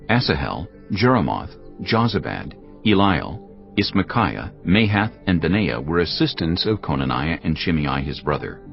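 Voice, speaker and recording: A man giving a solemn, measured, scripted narration of scripture as part of a dramatised audio production. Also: sits low, lo-fi sound. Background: music.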